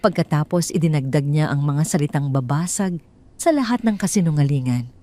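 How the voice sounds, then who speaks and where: A woman's voice: deep voice, expressive, a little fast, dark tone. A woman narrating a scripted story in a dramatic storytelling style.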